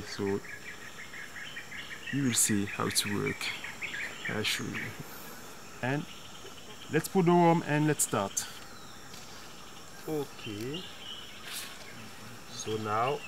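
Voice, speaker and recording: A young man explaining things informally, searching for words in non-native English. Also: medium pitch. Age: young adult. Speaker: local companion demonstrating fishing. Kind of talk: informal demonstration. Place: outdoors in jungle at a riverbank. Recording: clear insect and jungle noise.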